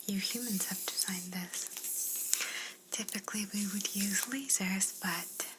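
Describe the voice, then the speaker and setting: A woman playing a polite, curious alien examiner in an ASMR roleplay, speaking in a soft breathy whisper with a soothing, clinical manner. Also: mid-range voice, background noise, lightly acted. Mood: clinical, gentle. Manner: slow, soothing, clinical, courteous.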